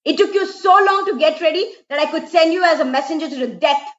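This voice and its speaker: A woman voicing an impatient count from a folktale, loud, exclaiming and emotional. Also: high-pitched, fast talker, voice pushed up, close to an everyday voice. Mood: impatient, scolding. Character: impatient count from a Christmas folktale, adult man. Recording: roomy, slightly dull home recording.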